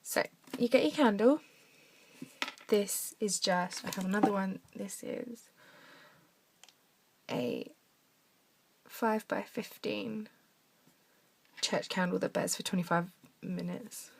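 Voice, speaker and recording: Croaky woman showing a craft. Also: mid-range voice, slow pace, long pauses. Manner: chatty, talks while drawing, drops to soft mutters. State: croaky from a cough. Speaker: craft tutorial maker. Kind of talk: casual craft demonstration. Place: home desk, indoors.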